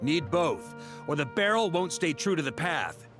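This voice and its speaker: Hearty, animated knight commander. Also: male voice, fairly high pitch, moderate pace, faint music behind. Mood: amused, reminiscing. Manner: jovial, folksy, clipped storytelling. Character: hearty, boisterous adult male knight commander, fond of drink. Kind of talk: video game voice acting.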